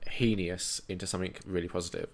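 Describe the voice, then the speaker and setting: A man hosting a podcast in casual chat, asking prompting questions and dropping in quick agreements. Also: low voice, fairly even intonation. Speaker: podcast host.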